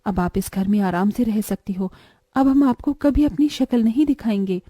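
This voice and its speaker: A woman's voice: mid-range voice, moderate pace, dark tone, no echo, clean studio sound, close to an everyday voice. A woman voicing a meek, loyal young stepsister in a cartoon moral story, speaking earnestly and respectfully. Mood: gentle, earnest.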